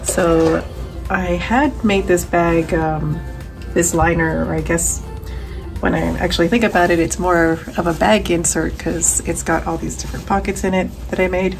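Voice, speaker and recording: A woman's voice: lowish voice, fairly even intonation, normal speed, vocal fry, faint music behind. A woman in her thirties narrating a casual craft vlog, informally thinking aloud as she goes. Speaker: crochet crafter and vlogger.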